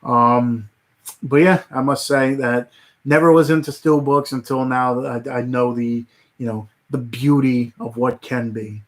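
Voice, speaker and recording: A man chatting on a casual livestream at an ordinary pitch, drifting from thought to thought with plenty of fillers and false starts. His voice is wearing thin and turning hoarse. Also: fairly even intonation, unhurried. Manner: rambling, many fillers and restarts. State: voice getting hoarse. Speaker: livestream host.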